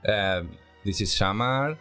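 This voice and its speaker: A man with a Spanish accent hosting an informal interview, speaking English in halting, simple non-native phrasing. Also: on the low side, fairly bright tone, clean tone, soft background music. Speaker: interview host.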